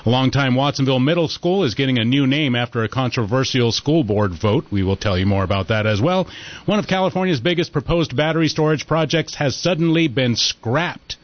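A man hosting a casual radio talk show, chatty and relaxed, reading out headlines between conversation. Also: mid-range voice, fairly quick, muffled recording.

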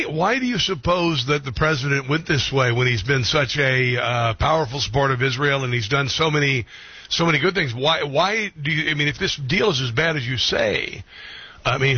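A man hosting a radio talk show, speaking haltingly with many ums and restarts. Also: medium pitch, expressive, breathy edge, dry recording, lo-fi sound. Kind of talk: radio interview.

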